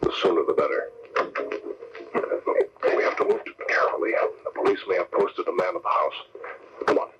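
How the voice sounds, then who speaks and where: An actor playing a resolute newspaper boss and secret crime-fighter, brisk and earnest, in an old radio drama. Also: male voice, low voice, lightly acted. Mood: urgent, tense. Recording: muffled, noisy old radio recording.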